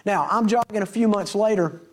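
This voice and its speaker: Fast-talking preacher man. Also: sits high. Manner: folksy, animated storyteller acting out dialogue. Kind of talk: storytelling sermon to a congregation.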